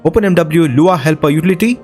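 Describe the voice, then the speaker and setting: A man's voice: on the high side, fast, darkish tone. A man hosting gameplay commentary on game mods in an enthusiastic, appreciative way. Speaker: host of a game modding news show. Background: prominent game music.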